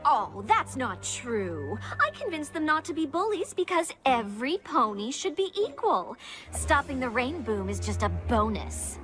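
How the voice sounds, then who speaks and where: A woman's voice: high-pitched, big pitch swings, a little slow, fairly bright tone, exaggerated voice. A woman voicing a zealous, theatrical female pony cult leader in a cartoon style. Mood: smug, bitter. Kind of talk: cartoon character audition acting. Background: music.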